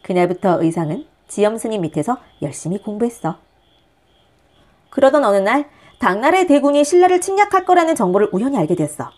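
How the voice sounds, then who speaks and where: A woman narrating a picture book for young listeners in an expressive, animated way. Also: on the high side, brisk. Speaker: storyteller reading a picture book. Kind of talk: expressive children's storybook narration.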